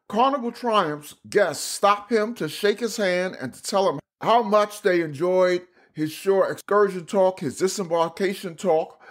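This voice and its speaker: High-pitched male podcast host. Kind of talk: podcast interview, scripted introduction.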